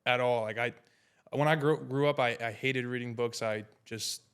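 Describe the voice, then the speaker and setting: A man's voice: medium pitch, steady tone, moderate pace, fairly bright tone, clear, resonant tone. A man in his twenties from New York's Hudson Valley, chatting casually as a podcast guest, easygoing and slangy, with lots of fillers. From New York, Hudson Valley. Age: 20s. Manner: laid-back, slangy, many fillers. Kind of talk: casual chat.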